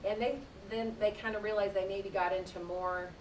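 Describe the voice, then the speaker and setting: A woman reads aloud to a classroom of young children, pausing to explain things in simple, conversational terms. Her voice sits fairly high and sounds distant in an echoing room, with a low rumble of noise behind her. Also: steady tone, a little mellow. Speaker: teacher reading aloud to children. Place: indoor room, speaking to a class.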